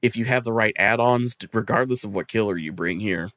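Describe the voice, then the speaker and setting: Male podcast co-host, low register, dry close sound. Casual game explainer, wry, happy to swear. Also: a little fast, a bit bright, no echo. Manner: wry, sweary, hesitant phrasing. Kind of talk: casual chat, explanatory commentary.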